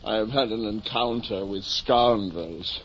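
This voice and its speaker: An actor playing a deluded, pompous aging gentleman who thinks he is a knight, declaiming theatrically in a 1950s radio drama. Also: on the low side, measured pace, husky. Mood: pained, groaning. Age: middle-aged. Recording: muffled, noisy old radio recording.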